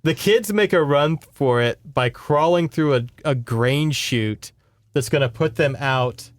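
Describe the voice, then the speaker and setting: A man's voice: on the high side, measured pace, no room sound, studio quality. A man hosting a podcast, giving a casual, rambling, sweary book report in conversation. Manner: informal, sweary, rambling storytelling.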